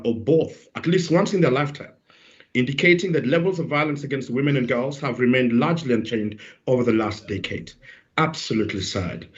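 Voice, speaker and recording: Man moderator for an online panel, hesitant delivery, mid-pitched, muffled call audio. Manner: hesitant, with frequent ums and uhs. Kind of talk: hosting a virtual panel. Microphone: video call.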